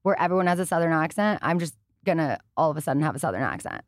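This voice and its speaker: A woman with a fairly low voice hosts a relaxed studio chat. She sounds agreeable and encouraging, often answering with quick affirmations. The recording is close and dry. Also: no echo. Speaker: podcast host. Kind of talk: casual interview chat.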